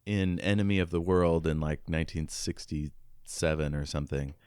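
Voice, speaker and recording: A man co-hosting a podcast, chatting casually as a fan in an easygoing way, with halting phrasing. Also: rumbling low, a little mellow, clean tone, dry recording, clean studio sound. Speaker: podcast co-host. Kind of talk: casual sci-fi fan chat.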